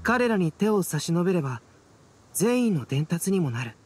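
An actor playing a calm, cerebral young male scholar in a Japanese anime game, speaking in a composed, gentle, thoughtful way. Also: high voice, normal speed, husky, exaggerated voice. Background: background game music.

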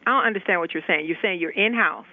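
A man's voice: high-pitched, fast. A man working a hotel front desk, speaking firmly and insistently on the phone, exasperated. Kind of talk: phone customer service. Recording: tinny narrow phone line.

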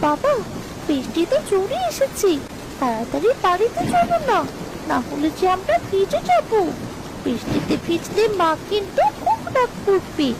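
A very high, lively little village girl played by a woman, pleading and childlike in a caring way. It is a cartoon voice over a noisy track with rain-like ambience. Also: normal speed, full character voice. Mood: worried, pleading. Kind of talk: Bengali cartoon voice acting.

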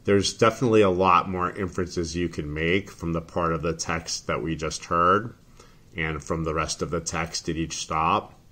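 A man explaining an assignment as a teacher, in a deliberate, careful manner. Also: low voice, fairly even intonation, pushed and bright. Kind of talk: instructional screen explanation for students.

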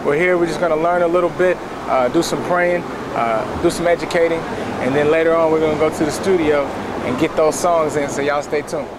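A man's voice: on the high side, steady tone, brisk, noisy background. A young man hosting a vlog, chatting casually to the camera outdoors. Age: young adult. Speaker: vlog host. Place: outdoors in a parking lot.

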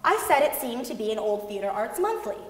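A woman's voice: on the high side, very animated, fairly quick. A young woman giving an emphatic dramatic reading of a first-person account on stage. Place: large concert hall stage, seated at music stand, before an audience. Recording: echoey concert hall.

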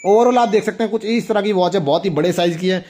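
A man's voice: high voice, fast talker, dark tone, a little hiss or hum. A young man hosting a casual toy review, demonstrating things enthusiastically and often repeating himself. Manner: enthusiastic, repetitive, exclaiming. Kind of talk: casual product demonstration to viewers.

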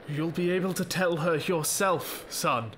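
Man acting weary WWI officer, fatherly, measured, slow delivery, audio drama, faint artillery behind. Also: on the high side, a bit bright. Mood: gentle, reassuring. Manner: measured, reassuring, formal. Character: war-weary, fearful but fatherly army captain. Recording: faint battlefield noise behind. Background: artillery fire and battlefield ambience.

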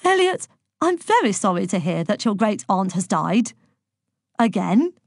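A woman voicing a timid, soft-hearted male headmaster in an audiobook, hesitant, apologetic and stammering. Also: medium pitch, sing-song, a little slow, breathy edge, no room sound, studio quality, exaggerated voice. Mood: nervous, apologetic.

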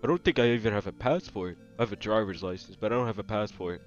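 A man's voice: fairly low pitch, quick pace, pitched below the performer's own voice, close to an everyday voice. A man voicing a homesick, dejected bear character who rambles and complains in an improvised comic skit. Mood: gloomy. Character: dejected homesick male leprechaun bear with a grudge. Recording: dull lo-fi audio with music behind.

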